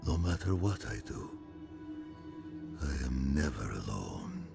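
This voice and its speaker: A mad cult leader speaks in an extremely deep voice, thick with gravelly creak. He preaches with theatrical menace, as in a fantasy game cutscene, swooping through his phrases. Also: very animated, over music, exaggerated voice. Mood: defiant, haunted. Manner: slow, theatrical, sermon-like. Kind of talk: video game cutscene acting.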